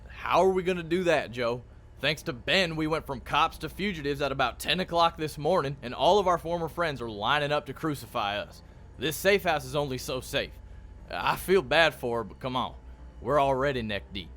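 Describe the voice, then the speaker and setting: A man's voice: on the high side, animated, normal speed, a bit bright. A man playing a skeptical, hard-nosed cop on the run, arguing bluntly in a radio play. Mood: exasperated, skeptical. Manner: blunt, argumentative.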